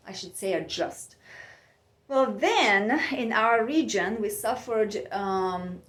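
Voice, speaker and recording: A woman from Bulgaria, musing in a soft, meandering way with many pauses and fillers as she hosts her own podcast from home. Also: mid-range voice. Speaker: podcast host. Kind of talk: reflective personal monologue. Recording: echoey room.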